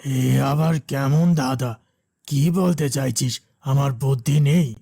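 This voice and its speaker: A man plays a giant cartoon demon villain with a creaky, gravelly voice. He is arrogant and domineering, taking his time over every word. The menace is steady and dark. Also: mid-range voice, slow pace, no room sound, clean studio sound. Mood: arrogant, taunting. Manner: taunting, domineering.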